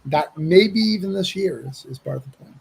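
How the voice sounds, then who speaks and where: Man, livestream host, slightly high pitch, gravelly, casual halting delivery. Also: lively intonation, a little mellow. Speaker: livestream host and comic project organiser. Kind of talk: casual livestream announcement and chat.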